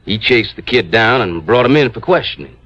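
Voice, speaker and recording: An actor playing an insistent, tight-fisted small-town insurance agent with a folksy, hesitant manner in an old radio drama. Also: male voice, medium pitch, animated, brisk, a bit bright, no room sound. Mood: explaining. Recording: dull vintage radio recording.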